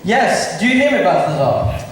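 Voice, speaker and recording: A man's voice: high voice, sing-song, normal speed. A man playing a genial, mischievous, lordly prince in an amateur school stage comedy. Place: large echoey indoor hall. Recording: very echoey hall from far off, hissy.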